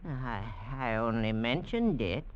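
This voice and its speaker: A man's voice: medium pitch, very animated, unhurried, clear, resonant tone. An actor playing a servile, sinister henchman, hesitant and fawning, in vintage American radio drama style. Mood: servile unease. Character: fawning, sinister male servant, a jackal of a henchman. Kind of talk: 1940s-50s American radio drama acting. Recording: dull vintage radio recording.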